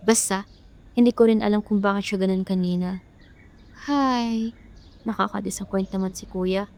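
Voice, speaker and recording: A woman's voice: medium pitch, steady tone, moderate pace, darkish tone, lightly acted. A woman with a Filipino accent playing a sweet, caring younger sister in an amateur radio drama. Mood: apologetic.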